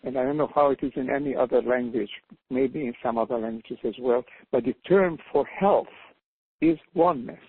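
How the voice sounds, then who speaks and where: An older Hungarian man speaks English with his native accent, earnest and halting, repeating words as he builds his thoughts. His voice is husky and comes through a tinny phone line with a dry sound. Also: mid-range voice, dry recording. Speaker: panel guest, author. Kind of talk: reflective panel talk by phone. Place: calling in from home abroad.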